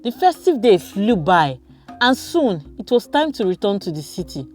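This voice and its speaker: Female storyteller for a scripted children's folktale, expressive range, faint music behind. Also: mid-range voice, moderate pace.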